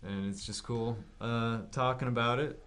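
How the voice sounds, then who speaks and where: A man's voice: mid-range voice, fairly even intonation, moderate pace, fairly bright tone. A man in his late twenties co-hosting a podcast, chatting casually with a friend, rambling and repeating himself with some mild swearing. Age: late 20s. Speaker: podcast co-host. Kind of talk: casual chat between friends about games.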